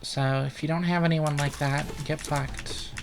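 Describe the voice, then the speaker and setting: Slow, deadpan gaming commentator over noise. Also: mid-range voice. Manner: profane, deadpan, rambling. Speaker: let's play host.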